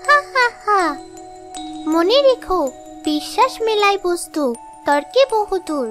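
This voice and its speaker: Cartoon snake girl: tiny high voice, knowing, kindly, enigmatic, music underneath. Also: lively intonation, takes it slowly, a bit bright, heavily acted. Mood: knowing, reassuring. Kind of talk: exaggerated cartoon dialogue.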